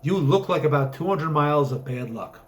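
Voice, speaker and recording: A man's voice: on the high side, expressive, moderate pace, lightly acted. A man voicing a beautiful, breezy woman driver in a story reading, chatty and wry with frank curiosity. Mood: breezy, amused.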